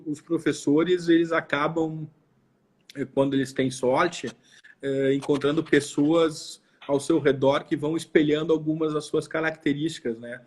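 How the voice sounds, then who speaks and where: A man in his forties with a somewhat high, husky voice and a southern Brazilian accent. He talks casually as an interview guest, thinking aloud and repeating words as he reflects. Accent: southern Brazilian, Porto Alegre. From Porto Alegre, southern Brazil. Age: 40s. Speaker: law professor interviewed as guest. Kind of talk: casual interview, recounting own life.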